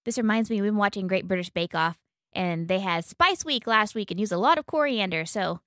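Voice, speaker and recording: A woman's voice: mid-range voice, animated, a bit bright, no echo. Playful jokey podcast woman, dry mic. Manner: playful, jokey, quick banter. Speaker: podcast co-host. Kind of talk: casual chat.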